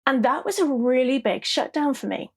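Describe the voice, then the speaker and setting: A middle-aged woman from southeast England with an English accent, telling stories as a podcast guest in a lively, laughing way. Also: high-pitched, normal speed. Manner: animated, laughs often, tags sentences with "yeah?". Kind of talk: conversational storytelling interview.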